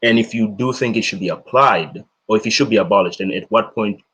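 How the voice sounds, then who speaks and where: A man calls in with his questions. His voice is low and husky, and he is polite and hesitant, circling back and rephrasing as he goes. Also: fast talker, fairly bright tone. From Tanzania. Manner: hesitant, polite, many fillers and restarts. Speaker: caller.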